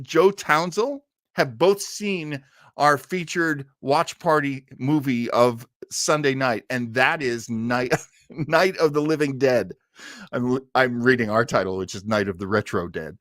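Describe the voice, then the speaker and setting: A man hosts a casual live show. His middle-pitched voice is enthusiastic and rambling as he replies to viewers. The sound is dry, with little room to it. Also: fairly bright tone, dry recording. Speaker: host of an old-time radio listening show. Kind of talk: casual livestream chat, replying to viewer comments.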